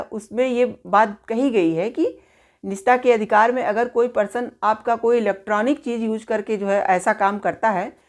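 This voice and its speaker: A woman gives an explanatory legal lecture in informal spoken language, teaching in a didactic way, often posing questions and answering them. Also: on the high side. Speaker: law teacher presenting an online lesson.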